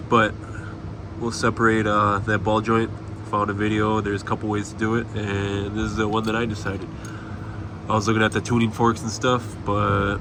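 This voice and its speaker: Low-voiced man tinkering, noisy background. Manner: offhand, hesitant, thinking aloud while working. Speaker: amateur car tinkerer filming a build vlog. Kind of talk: casual unscripted DIY vlog chat. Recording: clear background car and ventilation noise.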